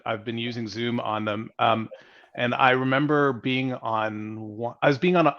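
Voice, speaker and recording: A man's voice: mid-range voice, a bit bright. Stammering man telling stories on a dull call line. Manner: stammering, humorous storyteller. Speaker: panelist, software executive. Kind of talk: panel discussion. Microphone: video call.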